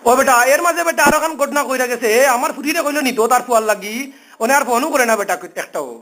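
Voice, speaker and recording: Very high-pitched, fast-talking Sylheti man, pleading. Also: fairly bright tone. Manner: pleading, complaining, repetitive. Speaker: phone caller. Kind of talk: informal phone conversation. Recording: phone call recording.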